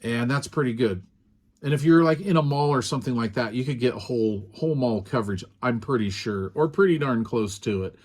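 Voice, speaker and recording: A man's voice: mid-range voice, fairly quick, a little mellow. A man casually demonstrating and reviewing a small walkie-talkie in an easygoing, rambling way, with frequent ums and uhs. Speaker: radio product reviewer. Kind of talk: casual hands-on product demo.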